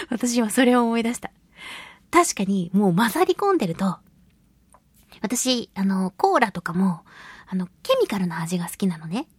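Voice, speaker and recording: A woman hosting a casual solo radio talk and reading listener mail, chatty, giggly and expressive, with a slightly breathy voice. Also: mid-range voice, unhurried, pauses often, no echo. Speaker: radio show host and voice actress.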